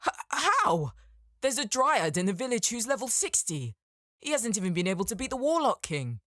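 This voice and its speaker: An excitable elf healer man from a fantasy audiobook, acted out by the narrator. The voice leaps up and down in pitch, nervous and friendly, with awkward stammers and exclamations. It is recorded close and dry. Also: normal speed, no room sound. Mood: astonished. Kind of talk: audiobook character acting in a fantasy story.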